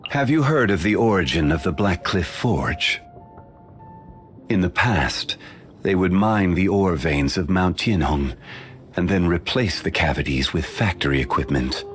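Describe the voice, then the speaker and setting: An actor voicing an ancient, dignified male sage for a game, in formal, measured, unhurried speech. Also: sits low, expressive, faint music behind. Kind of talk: studio game voice lines.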